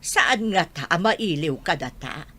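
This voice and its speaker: A woman playing a husky Ilocano village grandmother. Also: lowish voice, lively intonation, unhurried, pushed and bright, heavily acted. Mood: reminiscing, teasing. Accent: Ilocano, northern Philippines. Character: elderly village grandmother, fond and chatty. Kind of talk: Ilocano radio drama acting.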